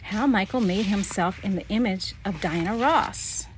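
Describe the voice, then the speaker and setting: A middle-aged woman thinking aloud in a halting, casual way as she comments on a scrapbook for a vlog. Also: medium pitch, a little hiss or hum, poor audio. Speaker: vlogger, memoir author.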